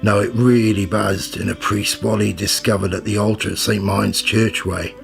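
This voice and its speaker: Gravelly, low-voiced cynical rogue journalist played by a man. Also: steady tone, normal speed, soft background music. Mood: wry, jaded. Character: cynical world-weary rogue journalist, fifty-year-old man. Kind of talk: radio play narration and dialogue.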